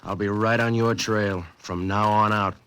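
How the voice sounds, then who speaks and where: An actor in an old-time radio drama playing a curt, menacing crook who talks in blunt, clipped slang. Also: male voice, on the low side, fairly even intonation, unhurried, a bit bright, a little hiss or hum. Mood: gruffly threatening.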